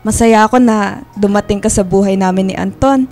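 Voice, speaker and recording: A woman playing a warm, chatty, doting mother of a grown son in a radio drama, fussing and exclaiming affectionately. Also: medium pitch, brisk, soft background music, lightly acted. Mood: tender, fond. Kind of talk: Filipino radio drama acting.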